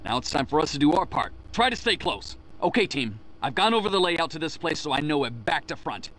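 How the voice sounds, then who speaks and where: A man's voice: a little high, sing-song, fairly quick, fairly bright tone, poor audio. An actor playing a pompous, easily flustered male gang boss in a video game. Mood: confident.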